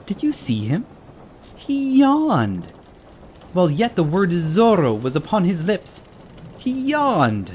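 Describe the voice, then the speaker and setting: An actor playing a boisterous, teasing Spanish caballero in a dramatized audiobook, theatrical and full of exclamation. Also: male voice, high voice, huge pitch swings, takes it slowly, pauses often, a little mellow, slight background noise, full character voice. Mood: mocking. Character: boisterous, mocking Spanish caballero, always ready to laugh. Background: ambient sea sound bed.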